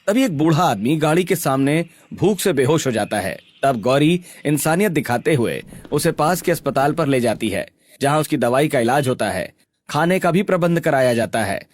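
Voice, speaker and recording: A man's voice: on the high side, expressive, normal speed. A man narrating a scripted story in a dramatic storytelling style.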